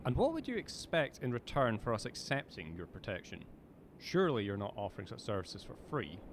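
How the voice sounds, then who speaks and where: A man's voice: lowish voice, big pitch swings, fairly quick, background noise. A man playing a learned, skeptical ancient vampire advisor in an audio drama, formal, dry and probing. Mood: polite, skeptical.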